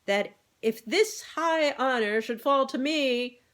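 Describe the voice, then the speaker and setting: A woman voicing a pompous rooster politician in an animal storybook, with florid, drawn-out oratory. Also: high voice, voice pushed up. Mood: grandiose. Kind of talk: animal storybook read-aloud.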